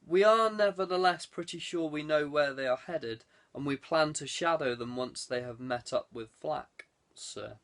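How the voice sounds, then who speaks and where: A man's voice: a little high, normal speed, hardly any pauses, fairly bright tone. A man voicing a deferential henchman in an audiobook, reporting to his villainous lord in a dutiful, matter-of-fact way. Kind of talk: audiobook character dialogue.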